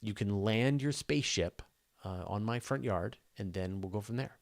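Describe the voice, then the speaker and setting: A man's voice: on the low side, moderate pace, vocal fry. A man hosting a casual live question-and-answer session, explaining things step by step in an enthusiastic, conversational way. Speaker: host of a live astronomy Q&A.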